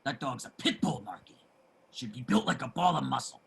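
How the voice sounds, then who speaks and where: A man playing a streetwise homeless man in a play reading, generous and opinionated, with salty, emphatic street talk and a husky voice. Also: mid-range voice, lively intonation, brisk, fairly bright tone, heavily acted. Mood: scornful. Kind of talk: dramatic table reading of a stage play.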